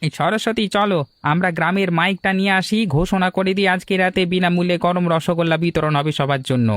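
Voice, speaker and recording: High, fast-talking village neighbor male actor. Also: fairly even intonation, fairly bright tone, dry recording. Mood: eager. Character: eager, helpful village neighbor. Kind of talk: Bengali cartoon dubbing.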